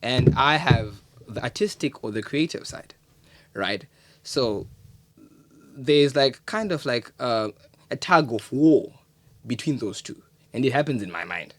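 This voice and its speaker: A man's voice: medium pitch, animated, a little slow, no echo. A man speaking as a guest on a podcast, describing himself in a reflective way, often repeating words as he searches for them. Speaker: podcast guest, poet and creative. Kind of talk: casual podcast conversation.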